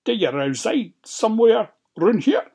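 Storyteller doing a sly scottish wolf, pitched up, fake friendly and coaxing, fairy tale style. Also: expressive, unhurried, vocal fry, darkish tone, slightly rough, full character voice. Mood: wheedling, friendly. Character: sly wheedling male wolf, falsely sweet and predatory. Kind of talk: storybook character voice.

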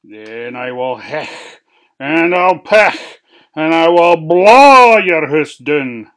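A reader with a Scottish accent voicing a sly old grey male wolf in a storybook, coaxing in a drawn-out voice. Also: medium pitch, expressive, a bit bright. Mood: threatening.

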